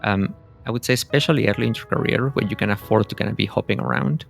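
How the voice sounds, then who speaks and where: A man's voice: fairly low pitch, fast, gravelly, soft background music. A man in his forties from Venezuela, a guest in a casual interview, talking thoughtfully and rambling with many restarts.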